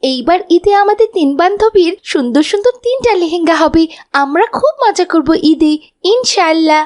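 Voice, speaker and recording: Very high-pitched spirited cartoon schoolgirl. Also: expressive, moderate pace, a little mellow. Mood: excited, cheerful. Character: spirited young schoolgirl, excitable, a little hot-tempered. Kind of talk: animated children's cartoon dialogue.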